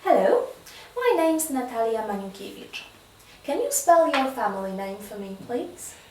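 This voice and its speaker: High, lively-voiced woman examiner, roomy sound. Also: distant mic, a little hiss or hum. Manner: clear, measured examiner prompts. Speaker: exam interviewer. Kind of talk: spoken English exam.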